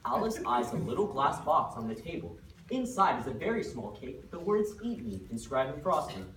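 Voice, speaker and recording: A woman's voice: extremely low pitch, very animated, brisk, a bit bright. A woman reading scripted narration in an amateur readers theater performance. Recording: echoey room, distant mic, clear noise.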